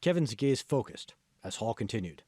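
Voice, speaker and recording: Animated male audiobook narrator. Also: medium pitch, moderate pace, dry recording, studio quality. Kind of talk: scripted narration.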